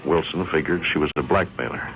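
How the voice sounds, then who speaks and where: An actor playing a steady, dependable friend in an old-time radio crime drama, measured, placating and a little evasive. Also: male voice, rumbling low, vocal fry. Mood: explaining. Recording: muffled, hissy old radio recording.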